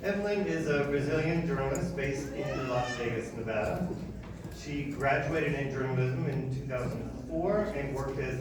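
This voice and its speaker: A man hosting a graduation ceremony reads out a speaker's introduction, stumbling and restarting as he goes. The voice comes from far away across a large, echoing hall, with noticeable noise beneath it. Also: mid-range voice. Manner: halting, with repeated restarts. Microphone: PA heard from back of room.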